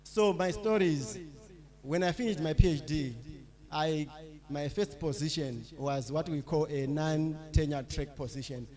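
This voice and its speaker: Halting middle-aged man giving a lecture. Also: a little high, mellow. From Zimbabwe. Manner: halting, with many fillers and restarts. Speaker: lecturer, associate professor. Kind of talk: public lecture.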